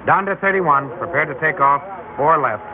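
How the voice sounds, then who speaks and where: A man's voice: fairly high pitch, normal speed, close to an everyday voice. An American actor playing a harried male air traffic controller in debt, in an old-time radio drama. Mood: brisk. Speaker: actor in the lead role, also narrating. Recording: old radio broadcast, narrow band, loud noise.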